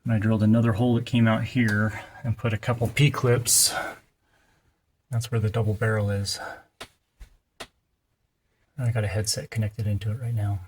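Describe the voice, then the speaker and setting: A man's voice: fairly low pitch, flat delivery, moderate pace, long pauses, a little mellow. A man giving a casual, step-by-step installation tutorial in a plain-spoken, folksy way. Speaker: installer presenting a how-to. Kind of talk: casual hands-on tutorial narration. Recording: clear background noise, occasional clicks.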